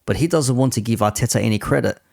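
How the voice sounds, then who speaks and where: A man's voice: medium pitch, quick pace, vocal fry, a little mellow, dry recording, clean studio sound. A man commentating on football off the cuff as a host, with a sarcastic, repetitive and rhetorical way of making his points. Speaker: host giving football opinion.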